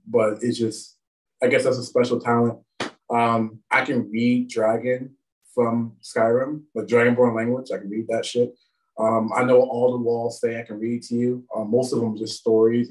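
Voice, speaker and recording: A man's voice: mid-range voice, distant mic, rough recording. A man whose first language is French, talking with a lisp as a wrestling guest in a rambling, self-deprecating casual chat.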